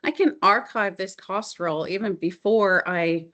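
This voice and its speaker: A middle-aged woman presenting a software demo as a consultant, explaining features in an informal, enthusiastic, conversational way. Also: mid-range voice, unhurried.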